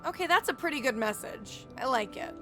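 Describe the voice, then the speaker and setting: A woman's voice: high voice, expressive, fairly quick. A woman doing casual live playthrough commentary as a game streamer, playfully reacting aloud. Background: game music.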